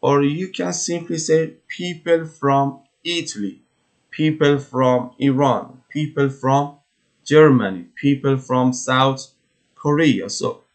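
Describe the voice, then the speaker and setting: Slow, careful male English teacher. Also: medium pitch. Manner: slow, careful, repeats words for pronunciation. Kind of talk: vocabulary lesson walkthrough.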